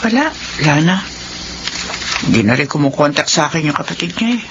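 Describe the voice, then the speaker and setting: A woman's voice: sits low, very animated, fairly quick. Practical, worrying mother, muffled sound. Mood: pensive. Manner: scolding, down-to-earth. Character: practical, worrying middle-aged mother and nagging elder sister. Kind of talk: Filipino radio drama acting. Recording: muffled radio drama with faint noise.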